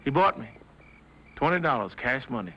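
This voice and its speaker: An actor playing a weary, proud former slave farmhand in an old western, speaking plainly and tersely. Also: male voice, medium pitch, sing-song, brisk, bright, forceful tone, exaggerated voice. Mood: firm, quiet. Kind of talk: old western film dialogue. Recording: dull lo-fi film audio.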